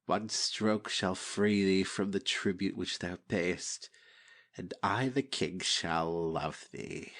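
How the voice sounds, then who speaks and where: A man playing a treacherous, ambitious royal brother in a deliberate, theatrical reading of Shakespeare. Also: low voice, fairly bright tone, exaggerated voice. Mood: conspiring.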